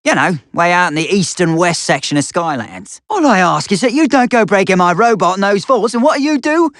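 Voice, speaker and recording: Paranoid hermit creature for a video game: high, cartoonish, swinging pitch, folksy and cranky grumbling. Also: male voice, fairly quick, no echo, studio quality. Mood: indignant. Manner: folksy, grumbling, excitable rustic speech. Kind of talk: video game character voice lines.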